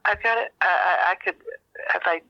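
An older American woman giving a reflective interview over a landline phone, speaking in a halting, thoughtful way as a guest. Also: fairly low pitch, gravelly, dry recording. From rural United States. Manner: halting, thoughtful, with long searching pauses. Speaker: interview guest, author and memoir teacher. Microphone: landline telephone. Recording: dull, narrow landline phone sound.

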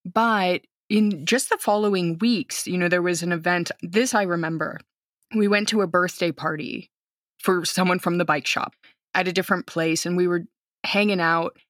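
An outgoing American woman in her thirties tells a story in relaxed conversation, with a voice sitting slightly low for a woman. She acts out the people she quotes. The sound is close and dry. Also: normal speed, no echo. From United States. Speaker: guest, teacher.

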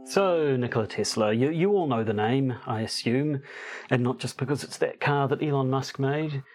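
A man co-hosting a relaxed podcast conversation. He speaks wryly and haltingly, restarting his sentences often as he finds his way into a topic. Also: medium pitch, faint music behind. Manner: hesitant, many fillers and restarts, wry. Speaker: podcast co-host. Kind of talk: casual chat.

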